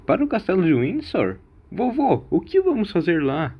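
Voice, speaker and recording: A man's voice: high-pitched, huge pitch swings, normal speed, highs cut off, a little hiss or hum, voice pushed up, exaggerated voice. A man voicing an anxious young granddaughter who pleads and questions, in an audio drama. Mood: surprised questioning.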